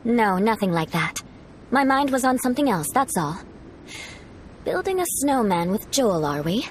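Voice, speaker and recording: A woman's voice: a little high, very animated, normal speed, slight background noise. A woman voicing a proud, poised noblewoman knight in a video game, with a composed, refined manner. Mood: composed, softening. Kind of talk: English game voice acting.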